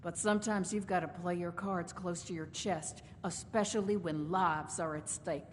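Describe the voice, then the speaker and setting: A woman plays a shrewd female caravan boss from a video game, proud and sardonic. She talks in genteel, folksy turns of phrase. The sound has long reverb over a faint noisy rumble. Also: fairly even intonation, normal speed, close to an everyday voice. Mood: sardonic, indignant. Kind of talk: video game character dialogue.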